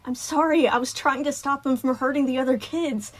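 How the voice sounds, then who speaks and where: A woman's voice: sits high, fairly even intonation, a little fast, pitched above the performer's own voice, close to an everyday voice. Woman doing a flustered, apologetic robot caretaker. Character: anxious, overworked male daycare robot.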